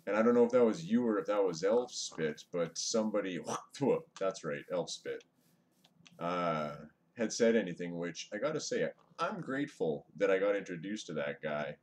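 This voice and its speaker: Deep-voiced man streaming on a webcam mic. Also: expressive, normal speed, a little mellow. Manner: chatty, greets viewers, mutters over gameplay. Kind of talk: casual live-stream chat while gaming. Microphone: webcam microphone, away from mouth. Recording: faint hiss from webcam mic.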